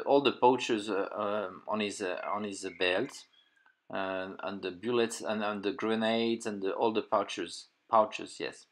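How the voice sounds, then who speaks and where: A middle-aged man with a French accent, French his first language, reviewing a toy in casual English with many fillers and restarts while he hunts for words. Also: lowish voice. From France. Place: indoor room full of figures and display cases.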